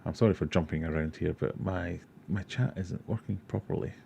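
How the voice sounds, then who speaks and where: A man's voice: low-pitched, fairly quick, gravelly, warm tone, a little hiss or hum. A Scottish man from Glasgow hosting an aquarium livestream, casually answering chat questions and rambling with self-deprecating asides. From Glasgow, Scotland.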